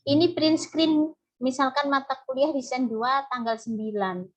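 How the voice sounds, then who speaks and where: A woman's voice: sits high, steady tone, moderate pace. A woman lecturer with a Javanese accent, teaching an online university class in a chatty, step-by-step way. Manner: chatty, explaining step by step with frequent "ya". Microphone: video call. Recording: muffled video call audio.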